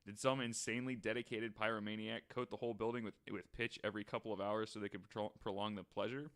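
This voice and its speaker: A man's voice: fairly low pitch, little pitch movement, quick pace, a bit bright. A man on a podcast panel, chatting casually and reading stories aloud.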